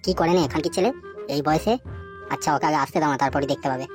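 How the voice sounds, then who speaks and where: A man's voice: on the high side, very fast, music in the background, lightly acted. A man voicing a short-tempered, scolding village father with a crude manner in a comic cartoon. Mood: grumbling anger.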